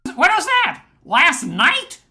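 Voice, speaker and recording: A cheeky wooden dummy boy acted out by a woman in a radio comedy sketch. The voice is pitched up high and bright, with an impudent, taunting manner. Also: huge pitch swings, slow, slight background noise, full character voice.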